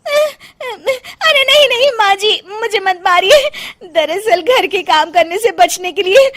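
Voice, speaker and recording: A woman's voice: extremely high pitch, lively intonation, slow, a bit bright, exaggerated voice. A woman playing a cowardly, scheming young daughter-in-law who whines and pleads in a comic story. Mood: tearful pleading. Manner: panicky, whining, pleading.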